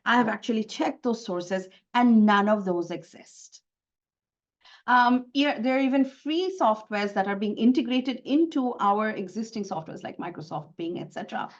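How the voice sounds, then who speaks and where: A woman's voice: a little high, normal speed, lo-fi sound. A woman from Bangladesh with a Bangladeshi accent, a native Bengali speaker, presenting slides in a webinar in an earnest, explanatory way and stressing words by repeating them.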